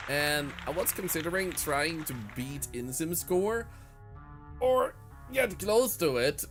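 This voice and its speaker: Animated male streamer over game music. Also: fairly high pitch. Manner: mutters counts, bursts into loud exasperated rants. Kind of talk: casual gameplay commentary.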